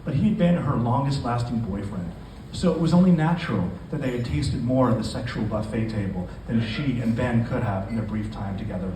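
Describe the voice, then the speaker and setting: A man's voice: medium pitch, animated, a little fast, dark tone. Man reading fiction aloud, echoey noisy room. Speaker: author reading own fiction. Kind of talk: live literary reading to an audience. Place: indoor bar event room. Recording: echoey, noisy bar venue, distant microphone.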